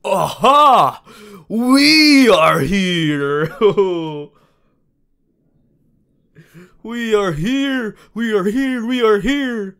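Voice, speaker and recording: A man playing a young, cheerful aspiring superhero student in an audio roleplay, earnest and goofy, with booming theatrical boasts and hesitant asides. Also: very animated, drawn-out words, hardly any pauses, fairly bright tone, clear, resonant tone, heavily acted. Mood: exuberant. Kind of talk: ASMR audio roleplay addressed to listener.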